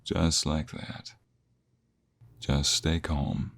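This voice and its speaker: A man playing a protective captive ex-mobster in an audio roleplay, earnest and emotional, with a husky, breathy voice. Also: rumbling low, flat delivery, very slow, a bit bright, slight background noise, heavily acted. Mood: anxious, soothing. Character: protective captive ex-mobster, devoted to his fiancée. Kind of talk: scripted audio roleplay acting, ASMR.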